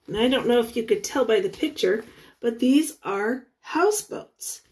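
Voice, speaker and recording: A woman teacher reads a picture book to young children in a high voice that rises and falls widely. She speaks in short, deliberate phrases and stops to ask the listeners questions. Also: animated, a little mellow. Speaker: teacher reading aloud to children.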